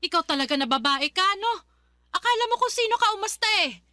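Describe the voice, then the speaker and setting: A domineering older Filipino mother-in-law in a radio drama. Her voice is very high and piercingly bright, recorded dry. She scolds and confronts with biting sarcasm. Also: animated, quick pace, no room sound, full character voice. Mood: scolding, sarcastic.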